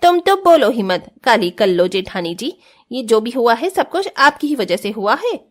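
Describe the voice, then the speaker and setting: Cartoon rich daughter-in-law woman acting: shrill-high, animated, whiny, haughty, defiant. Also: moderate pace. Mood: scornful. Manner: whiny, complaining. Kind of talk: cartoon family drama acting.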